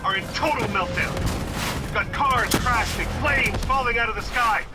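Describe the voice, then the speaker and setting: A man's voice: very high voice, moderate pace, loud music behind the voice. A man acting an alarmed officer giving an urgent report in a video game. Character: alarmed male officer reporting a citywide disaster.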